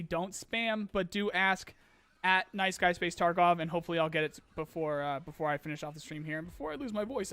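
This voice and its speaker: A man hosting a casual live-stream Q&A, rambling with many ums and restarts while his voice grows hoarse. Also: high voice, a bit bright. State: losing his voice, clearing throat. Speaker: streamer host answering chat questions.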